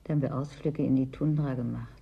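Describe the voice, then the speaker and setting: Wistful, low, husky female clerk. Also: fairly even intonation, fast talker, dull audio, heavily acted. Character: wistful, fretful woman clerk in a frozen settlement. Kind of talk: German science-fiction radio drama acting.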